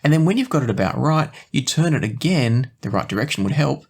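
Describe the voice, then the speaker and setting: A man's voice: medium pitch, lively intonation, a little fast, creaky, slightly rough. A man presenting a product review as host, explaining details with enthusiasm. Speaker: host of an audio gear review show.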